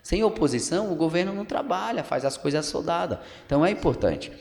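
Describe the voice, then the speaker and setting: A man's voice: on the high side, animated, fast, vocal fry, darkish tone. A man in his thirties from Pernambuco, a podcast guest and local journalist giving casual political commentary, explaining at length with frequent tag questions and fillers. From Pernambuco, Brazil. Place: council building room. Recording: long hall reverb.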